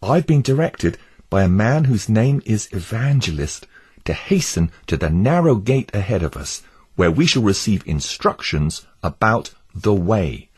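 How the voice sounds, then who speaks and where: A man voicing an earnest devout male pilgrim who pleads fervently with his neighbours, in a husky voice. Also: fairly low pitch, sing-song, unhurried, a little mellow, voice pushed up, heavily acted. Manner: fervent, imploring.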